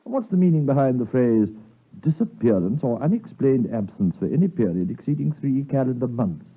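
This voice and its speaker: A stern, insistent Victorian gentleman lawyer with a British accent. He speaks emphatically in a husky, highly animated voice, heard through the thin, muffled sound of a 1950s radio play. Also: moderate pace. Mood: concerned, probing. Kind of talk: 1950s radio drama acting.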